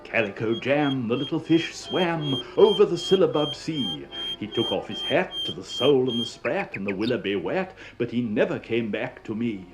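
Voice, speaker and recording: A man reading children's nonsense verse aloud in an expressive, theatrical recitation, on a vintage classroom record. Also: medium pitch, moderate pace, few pauses, rough recording. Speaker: poetry reader. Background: music.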